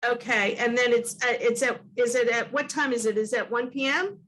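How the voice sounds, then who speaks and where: A woman's voice: a little high, brisk, fairly bright tone. A woman hosting a reading series over a video call, introducing poets with frequent hesitant fillers. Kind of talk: introducing speakers.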